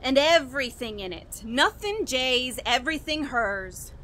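A woman playing a despairing, bitter young murderess, halting and brooding, in an old-style radio horror drama. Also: high-pitched, sing-song, pushed and bright, slight background noise, exaggerated voice. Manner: halting, brooding, with anguished emphasis. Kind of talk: melodramatic 1940s-style radio horror acting.

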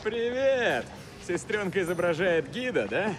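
An actor playing a wry, disapproving brother in a video game, speaking in a dry, sardonic way. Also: male voice, high-pitched, sing-song, unhurried, music in the background. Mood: dry, reproving. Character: wry, disapproving older brother, male mechanic.

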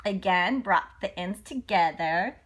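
A woman's voice: mid-range voice, animated, slow pace, a bit bright. A woman reading a children's storybook aloud, with playful, chatty asides to young listeners. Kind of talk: animated read-along narration.

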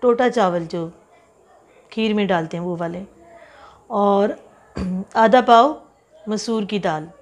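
A woman hosting a home cooking tutorial, chatting through each step and reading out ingredient amounts slowly, often repeating them. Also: mid-range voice. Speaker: cooking channel host. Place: home kitchen.

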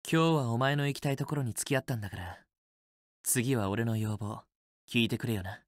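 Man acting a young student boyfriend, romance game character, possessive, teasing, softly coaxing, dry close recording. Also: mid-range voice, a little fast, no echo, natural voice. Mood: affectionate, coaxing. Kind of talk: Japanese otome game voice acting, intimate romantic dialogue.

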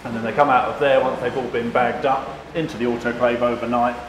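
A man's voice: medium pitch, level tone, fairly quick, background noise. A man guiding a tour of his team's factory, explaining things in a plain, matter-of-fact way with frequent ums. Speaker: team staff member giving a tour. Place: large workshop indoors. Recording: roomy workshop echo.

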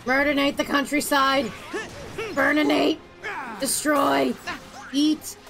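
High-pitched excitable woman game streamer. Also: slow pace, vocal fry. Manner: excitable, theatrical, ranting. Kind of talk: live gameplay commentary and chat. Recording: loud game music behind. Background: video game music and effects.

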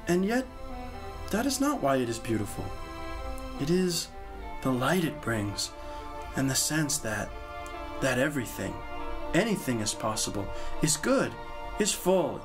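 An actor playing a naive, awed young knight in a dramatic play, speaking in halting, wondering phrases. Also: male voice, mid-range voice.

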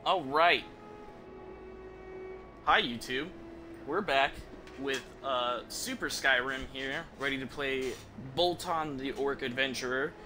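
A man's voice: mid-range voice, slow, long pauses. A young man giving casual live game commentary in a rambling, informal, sweary way. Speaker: video game streamer. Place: indoor room at a streaming setup. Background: game music and sounds.

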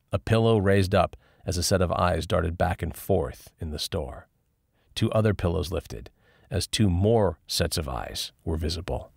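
A man's voice: sits low, vocal fry, no room sound, clean studio sound. A man narrating a scripted story as an audiobook reader.

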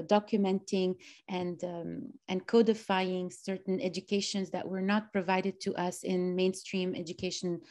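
A woman's voice: medium pitch, warm tone. Woman host, thirties, enthusiastic, video call from a small room. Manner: enthusiastic, many fillers. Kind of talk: casual interview chat.

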